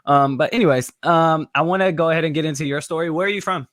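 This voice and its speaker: A man's voice: on the high side, fast talker, no echo, clean studio sound. Laid-back young man hosting a podcast. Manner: relaxed, rambling with many fillers. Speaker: podcast host. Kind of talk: casual interview chat.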